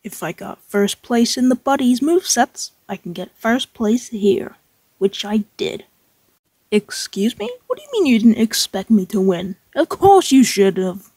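Woman doing cocky armored game fighter, boasty, high pitched, dry audio. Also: expressive, unhurried, mellow, husky, no room sound. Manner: boastful, indignant. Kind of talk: scripted fighting game voice lines.

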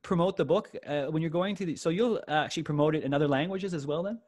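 Quick-talking man host, dry close sound. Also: a little high, darkish tone, no echo. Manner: fast, filler-heavy, eager. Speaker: podcast host. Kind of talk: friendly interview. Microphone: video call.

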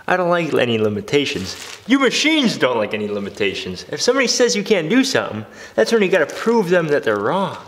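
A man's voice: a little high, huge pitch swings, fast talker. A young man in his twenties vlogging from his garage workshop, upbeat and jokey, with a motivational streak. He talks into a handheld camera held close. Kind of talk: energetic workshop vlog. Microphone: handheld camera, close and moving.

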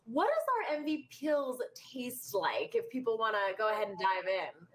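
A woman's voice: fairly high pitch, big pitch swings, normal speed, rough recording. A young woman hosting a lifestyle TV segment, interviewing a guest in an upbeat, chatty manner. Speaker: TV show co-host.

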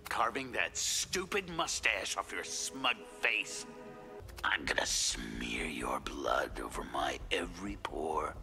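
An actor playing a gleefully menacing masked slasher killer in a video game, gravelly and teasing, drawing out his words. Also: low voice, sing-song, bright, forceful tone, soft background music, exaggerated voice. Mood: gleefully violent. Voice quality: gravelly, breathy at times. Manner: sinister, teasing, drawn-out delivery. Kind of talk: video game villain acting.

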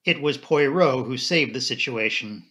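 A man's voice: mid-range voice, normal speed, sharp tone, close to an everyday voice. A man voicing a self-assured English police inspector in a mystery audiobook, curt and brusque in manner. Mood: grudging. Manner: curt, cocksure, toneless. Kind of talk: audiobook character dialogue.

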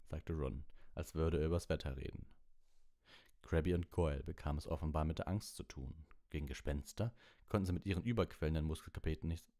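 A man's voice: rumbling low, darkish tone. A man narrating an audiobook in a storybook reading style.

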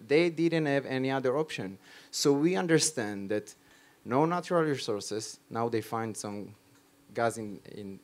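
A man in his thirties with an Israeli accent, giving a live conference presentation in emphatic, short, repeated phrases into a handheld microphone. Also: medium pitch. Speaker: conference speaker on startups and innovation. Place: stage at a tech conference.

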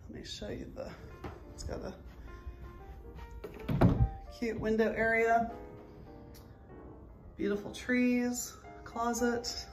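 A woman's voice: fairly high pitch, flat delivery, slow, pauses often, loud music behind the voice. A middle-aged woman, a real estate agent, narrating a house walk-through on a handheld phone inside an empty home. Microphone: handheld phone behind the camera.

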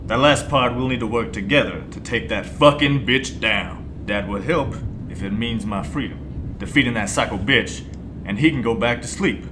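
A man's voice: moderate pace, close to an everyday voice. A man playing a foul-mouthed, bitter baby monster who talks bluntly in a fan-made audio drama. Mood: bitter, frank. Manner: blunt, sweary. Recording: noisy, rumbling background.

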